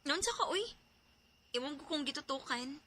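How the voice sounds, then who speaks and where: A woman's voice: high-pitched, expressive, moderate pace, pushed and bright, a little hiss or hum. A woman acting a blunt, teasing teenage girl in a radio drama, speaking colloquially with a Cebuano accent. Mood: grumbling. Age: young adult.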